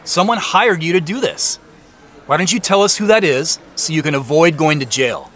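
An actor playing an earnest, suspicious man in an audio drama, pressing for answers and quick to defend himself. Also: on the high side, fairly bright tone, natural voice. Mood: accusing, pressing. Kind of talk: naturalistic audio drama dialogue.

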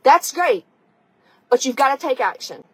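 Blunt, high-voiced woman with a husky edge. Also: big pitch swings, a little slow, no room sound. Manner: blunt, profane, emphatic; conversational, addressing the listener directly. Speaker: podcast host and coach. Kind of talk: motivational tough-love monologue.

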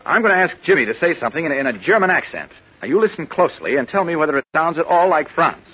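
An actor playing an earnest, urgent reporter in 1940s radio drama style, probing and explaining with some stammering. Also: male voice, on the high side, lively intonation, fast talker, husky. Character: earnest, urgent male reporter, insistent and quick-thinking. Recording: muffled vintage radio recording.

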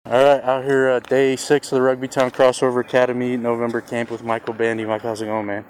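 A man's voice: medium pitch, monotone, fast, darkish tone, slight background noise, rough recording. A man conducting casual interviews, with lots of short acknowledgments. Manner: friendly, frequent backchannels.

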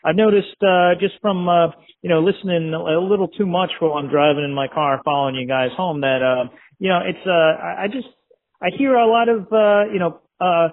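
Male voicemail caller, slightly high voice, rambling and hesitant, thin phone-line sound. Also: fairly even intonation, normal speed. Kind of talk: casual rambling comic voicemail. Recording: narrow phone voicemail audio.

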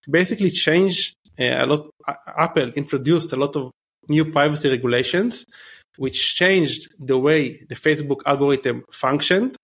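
A man's voice: a little high, gravelly. A man in his thirties with an Israeli accent, answering interview questions in a loose, chatty way with many fillers and restarts. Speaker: guest entrepreneur.

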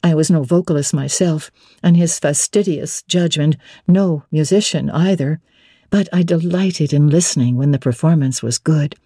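Low, mellow woman reading a classic novel. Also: normal speed, breathy edge, no room sound, clean studio sound. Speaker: audiobook narrator. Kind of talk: dramatic literary reading.

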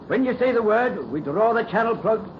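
Hearty Scots foreman, obliging, high lilting delivery, 1930s radio drama. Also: lively intonation, normal speed. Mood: hearty, respectful. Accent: Scottish. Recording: muffled, very noisy 1930s radio recording.

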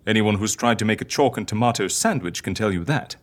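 Deep husky woman, animated swooping delivery, fussy, sceptical, coaxing, audio drama. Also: fast. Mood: reasoning. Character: fussy, reassuring, sceptical, eccentric woman counselling a client.

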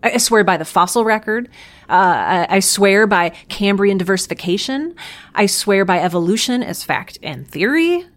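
A woman playing an earnest, defensive scientist in an audio drama, talking in a sincere, halting, wry way. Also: mid-range voice, expressive, natural voice. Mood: pleading. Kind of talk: audio drama acting, conversational.

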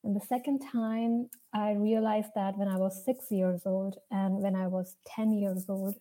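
A young woman from India with an Indian accent tells her personal story off the cuff, earnestly and with hesitant pauses. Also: medium pitch, warm tone, rough recording. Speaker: speaker sharing a personal story. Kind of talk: personal storytelling, unscripted talk.

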